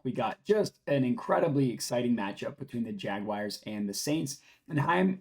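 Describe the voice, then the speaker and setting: Hoarse raspy man giving betting picks. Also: medium pitch, a little mellow, low-quality recording. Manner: upbeat, brisk, explaining odds to viewers. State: hoarse, lost voice from screaming. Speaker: sports betting channel host. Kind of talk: casual scripted betting picks talk.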